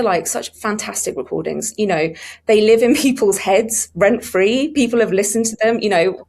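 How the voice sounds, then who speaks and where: Woman being interviewed, chatty and keen, stresses her points, mid-pitched voice. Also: a little mellow. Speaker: audiobook publishing interviewee. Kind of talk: conversational interview answers.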